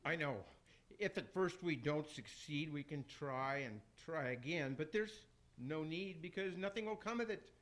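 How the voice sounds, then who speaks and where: A man's voice: a little high, expressive, normal speed, fairly bright tone. A man acting a pompous, scheming Roman emperor from hell in a rhymed radio play, declaiming theatrically. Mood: resigned. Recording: faint noise, amateur radio broadcast.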